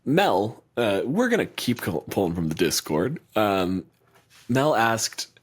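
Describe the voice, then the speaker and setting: A man's voice: on the low side, very animated, slow, breathy edge. A man co-hosting a podcast, talking in blunt, profane, argumentative casual chat. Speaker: podcast co-host.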